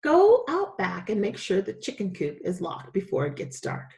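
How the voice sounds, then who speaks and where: A woman reader voicing a caring, weary but steady mother in a children's story, gentle and reassuring. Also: mid-range voice, normal speed, creaky.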